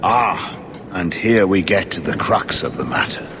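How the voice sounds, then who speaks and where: An actor playing an earnest, dogged investigator in a fantasy video game, speaking formally and insistently. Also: male voice, sits low, expressive, measured pace, background noise. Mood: grave. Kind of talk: scripted video game acting. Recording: game audio over music.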